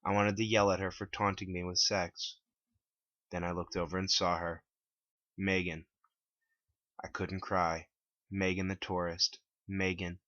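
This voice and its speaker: A man reading his own story aloud as a scripted dramatic narration in a deep voice. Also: a bit bright, no echo. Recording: dull lo-fi, dry close recording.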